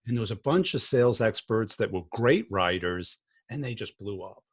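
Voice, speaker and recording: A man's voice: on the low side, lively intonation, normal speed, husky. A man hosting a podcast, talking bluntly and plainly in casual conversation. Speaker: podcast host.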